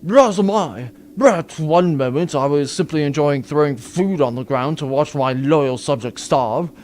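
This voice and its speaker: Man playing a highish, pompous, cruel space duke. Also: a little slow, darkish tone, a little hiss or hum, natural voice. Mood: flippant. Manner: pompous, theatrical. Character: cruel, flippant male aristocratic duke. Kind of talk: comic sci-fi audio drama acting.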